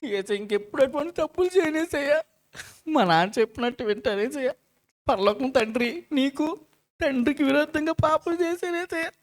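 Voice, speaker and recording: A woman voicing an earnest, excitable young boy puppet, repentant and childlike, in a children's church puppet show. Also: high voice, brisk. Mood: remorseful praying. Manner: pleading, exclaiming, keeps addressing big sister.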